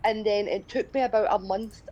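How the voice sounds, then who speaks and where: A woman's voice: medium pitch, steady tone, brisk, dark tone, rough recording. A woman co-hosting a livestream in casual, conversational chat. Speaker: livestream co-host. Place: at home in a living room. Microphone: voice call on a phone.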